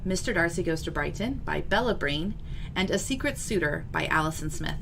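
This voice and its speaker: A woman's voice: on the low side, animated, a little fast. A middle-aged woman talking to camera as an author introducing her book, chatty and wry with knowing asides, in a home office. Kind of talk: semi-scripted video introduction to camera. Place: small home office with bookshelves, indoors. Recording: clearly noisy room recording.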